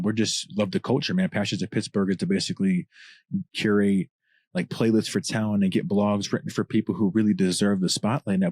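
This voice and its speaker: Man, deep voice, very low pitch, husky, passionate, profane, long-winded, casual guest chat. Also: darkish tone, dry recording, clean studio sound. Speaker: rapper, podcast guest.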